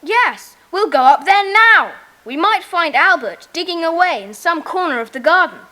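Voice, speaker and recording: Woman acting confident schoolboy, children's audio drama, bossy, brisk, sharp bright tone, lively pitch. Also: exaggerated voice. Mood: decisive. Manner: brisk, decisive.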